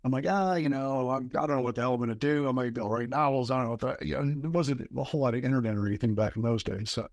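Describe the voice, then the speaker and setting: A man's voice: medium pitch, rapid-fire, few pauses, darkish tone, dry recording. A man in his fifties from southern Wisconsin, telling long rambling stories as a guest in a casual interview. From southern Wisconsin, USA. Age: 50s. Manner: rambling storyteller with many fillers. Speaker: guest, author and game designer.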